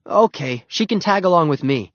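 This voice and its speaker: A man's voice: on the high side, expressive, normal speed, fairly bright tone, no room sound, pitched above the performer's own voice. A man voicing a reluctant young paperboy who talks in a grudging, mildly raised voice. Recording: dull lo-fi dry recording.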